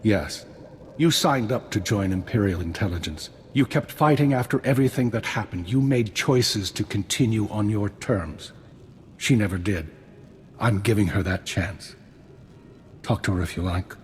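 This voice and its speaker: An actor playing a shrewd, formal, dryly polite retired spymaster in a science-fiction video game. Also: male voice, fairly low pitch, moderate pace, slight background noise, lightly acted. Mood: calm, unflinching. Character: shrewd, unflappable male retired imperial spymaster. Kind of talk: scripted video game acting.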